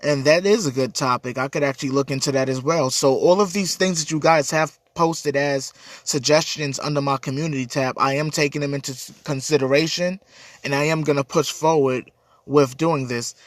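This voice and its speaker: A man's voice: a little high, fairly even intonation, normal speed, no room sound, clean studio sound. A man with a cold and a stuffy, congested voice, hosting a casual livestream chat and answering viewer comments in an earnest, rambling way. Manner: earnest, rambling, repeats words. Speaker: livestream host.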